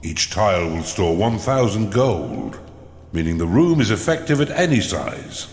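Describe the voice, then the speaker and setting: Sardonic evil dungeon mentor for a game, super swoopy delivery, echoey. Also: male voice, fairly low pitch, very animated, a little slow. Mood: instructive, sly. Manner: pompous, sardonic, theatrical. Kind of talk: scripted game narration.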